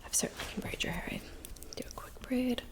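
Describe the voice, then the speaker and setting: A woman speaking in a soft, breathy whisper, gently reassuring the listener in an ASMR roleplay. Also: quick pace. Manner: gentle, reassuring, attentive personal-attention talk. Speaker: ASMR performer. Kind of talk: whispered ASMR roleplay as a witch doing hair, makeup and potions.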